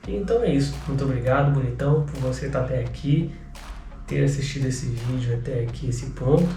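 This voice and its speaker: A man's voice: mid-range voice, a little fast, warm tone. A man with a Brazilian Portuguese accent and a cold, explaining personality profiles in a casual video lesson, full of restarts and "né?" tags. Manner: rambling, frequent "né?" tag questions and restarts. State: has a cold, voice affected. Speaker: behavioral profile coach. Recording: echoey room, mic at a distance, faint background noise.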